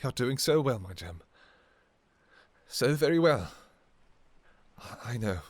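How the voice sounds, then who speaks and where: A man playing an anguished, devoted vampire king in a fantasy romance audio roleplay, speaking in halting, pleading, formal old-fashioned phrases. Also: mid-range voice, big pitch swings, dry recording. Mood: tender, soothing. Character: anguished, devoted vampire king, obsessive lover and new father.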